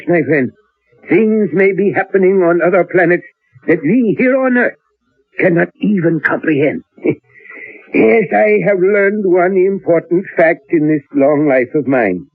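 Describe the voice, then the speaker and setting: A thin, roomy old radio recording carries an elderly professor. He is learned and secretive, musing aloud with theatrical hesitations and lively swings of pitch. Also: slightly rough, phone-quality sound, exaggerated voice. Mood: musing, excited. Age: older. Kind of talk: old-time radio drama acting.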